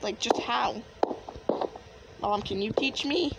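A woman's voice: a little high, slow, gravelly, very noisy. A woman playing an overwhelmed, hesitant young son in an improvised game roleplay, with exclamations of disbelief.

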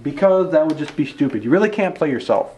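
A man's voice: a little high, lively intonation, quick pace, mellow, close to an everyday voice. A man playing a bossy, exasperated amateur film director, argumentative and sarcastic, in a naturalistic comedic style. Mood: pushy, dismissive. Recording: echoey room, faint background noise.

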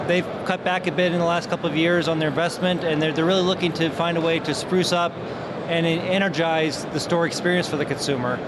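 An American man in his forties speaks in a somewhat high voice. He gives a measured, earnest promotional interview as a company manager. The loud noise of a busy indoor expo floor runs behind him. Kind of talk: promotional interview at a trade show. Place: large indoor expo hall.

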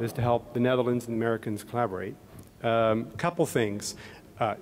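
A man's voice: medium pitch, darkish tone. A middle-aged man with a Pittsburgh accent, speaking conversationally into a podium microphone to an audience in a large hall, with frequent fillers and restarts. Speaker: embassy economist and panel moderator. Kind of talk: public talk at a podium. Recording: long hall reverb.

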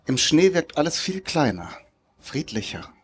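A man's voice: on the high side, sing-song, measured pace, slightly rough, heavily acted. A man playing a mysterious, teasing Russian childhood friend in a live stage audio drama. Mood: charming, reserved.

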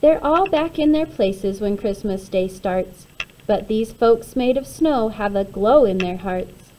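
A woman reading a rhyming children's picture book aloud in an expressive, measured way. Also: mid-range voice, a little hiss or hum. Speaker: storybook reader.

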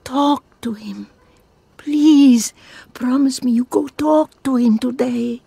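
An actress playing an older immigrant mother, slow and pleading, in a game cutscene. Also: high voice, creaky, mellow, breathy edge, no room sound, heavily acted.

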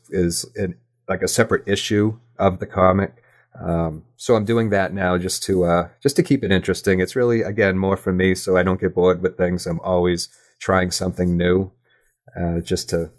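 A man speaking as a guest in a casual interview, halting and self-effacing as he thinks aloud. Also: deep voice. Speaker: podcast guest, audio drama creator.

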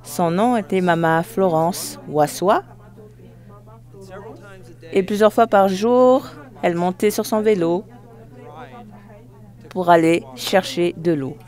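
An interpreter giving a live spoken translation of a formal speech, phrase by phrase, with halting self-corrections. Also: mid-range voice, a little mellow.

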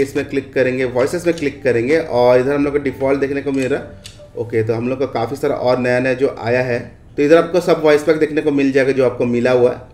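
An Indian man with a mid-pitched voice gives casual game commentary. He talks conversationally, as a host showing viewers around menus, and often tacks on short checking phrases. The recording has a roomy echo. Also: a little mellow. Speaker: gaming video creator and host. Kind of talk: casual screen-capture commentary on game updates.

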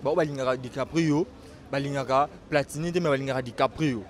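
A man's voice: medium pitch, fairly quick, a little hiss or hum. A man in his thirties with a Congolese accent, hosting a casual interview. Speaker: interviewer, show host. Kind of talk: casual TV interview, switching languages. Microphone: handheld interview microphone. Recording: outdoor recording with busy background chatter.

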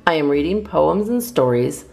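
A low-voiced woman reads poems aloud to children with theatrical emphasis. Her intonation swings widely and her voice has a creaky edge. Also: animated, moderate pace, darkish tone. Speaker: storytime host reading a picture book.

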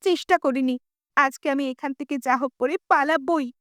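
Woman voicing a high-pitched defiant young girl. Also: brisk, a bit bright, no echo, clean studio sound. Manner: determined, defiant. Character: brave, defiant captive village girl. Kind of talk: dubbed cartoon dialogue.

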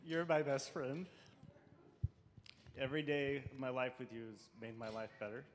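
Young man, earnest and tender, mid pitch, echoing church hall. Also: normal speed, a little hiss or hum. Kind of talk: heartfelt marriage proposal. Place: church sanctuary stage. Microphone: handheld microphone.

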